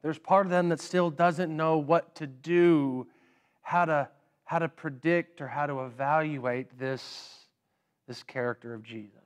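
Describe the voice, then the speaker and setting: A man in his thirties delivers a sermon in a church sanctuary at a slow, deliberate pace, his voice smooth and clear. He often repeats a word before moving on, and gestures as he stands to preach. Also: on the high side, fairly even intonation. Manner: unhurried, with frequent word repeats and pauses. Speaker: pastor.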